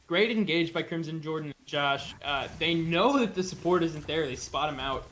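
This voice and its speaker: A man's voice: a little high, animated, moderate pace, fairly bright tone, slight background noise, poor audio. A man giving live play-by-play commentary on a video game match as an esports caster.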